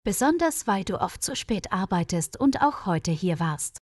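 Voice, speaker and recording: A woman's voice: medium pitch, very animated, a little slow, a little mellow, no echo, studio quality. A woman narrator voicing a tired, worried female hospital colleague in a story, earnest and explanatory.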